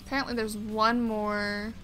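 A woman's voice: mid-range voice, little pitch movement, normal speed, fairly bright tone, soft background music, poor audio. A woman doing casual, slangy, reactive gameplay commentary as a let's player.

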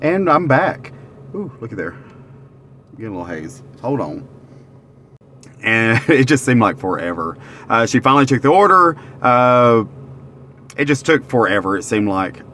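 A man's voice: medium pitch, big pitch swings, measured pace, pauses often, pushed and bright, slight background noise. A man, reviewing a meal to camera from inside a parked car in a rambling, easygoing way with lots of fillers. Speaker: vlogger, restaurant reviewer. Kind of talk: casual review talk to camera. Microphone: phone camera at arm's length.

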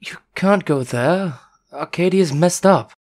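A man's voice: a little high, slow, darkish tone. A man playing a nervous, flustered junior soldier in a comic dub.

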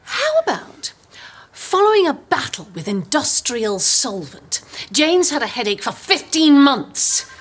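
An actress playing a frazzled, bossy middle-aged producer, urgent and clipped, in a screen comedy. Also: female voice, high-pitched, sing-song, measured pace. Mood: urgent, exasperated. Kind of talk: naturalistic screen comedy acting. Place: open-plan office.

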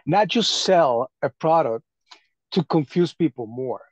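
A man from Venezuela talking casually on a group video call, haltingly, often repeating his phrases. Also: on the high side, animated, no room sound. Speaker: call participant. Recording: dull lo-fi call audio.

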